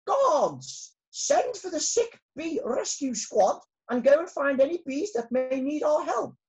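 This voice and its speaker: A woman voicing a commanding female queen bee in a storybook, speaking in a high, stately, bossy way. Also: big pitch swings, measured pace, darkish tone, soft background music, heavily acted, rough recording.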